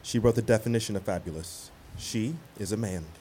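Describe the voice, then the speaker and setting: A man narrating stage directions for a radio play in measured, declarative sentences. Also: lowish voice, mellow, husky. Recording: some background noise.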